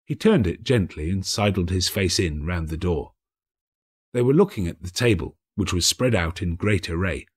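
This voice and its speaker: A man narrating a classic story in a theatrical, expressive and emphatic scripted reading. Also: deep voice, breathy edge. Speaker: audiobook narrator, actor.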